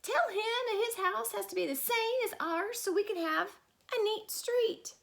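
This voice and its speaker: A woman voicing a crowd of prim, scandalized neighbors, shouting in high, indignant exclamations. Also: moderate pace, creaky, noisy background. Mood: outraged.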